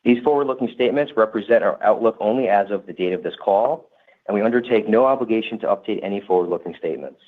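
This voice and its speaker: Formal man with a lowish voice. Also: fast talker, phone-quality sound. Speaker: investor relations officer. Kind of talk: scripted corporate disclaimer reading.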